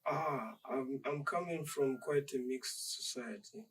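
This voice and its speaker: A young man from Zambia, a dancer being interviewed, speaking in a measured, reflective and polite way. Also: mid-range voice, fairly bright tone, distant mic, a little hiss or hum, rough recording. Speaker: dancer guest. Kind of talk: interview conversation.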